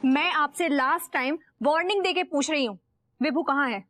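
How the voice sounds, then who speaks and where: A woman playing a polished, high-voiced modern wife. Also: normal speed, noisy background, natural voice. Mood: insistent. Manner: crisp, mixes English words into Hindi. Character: modern upper-class wife, polished and insistent.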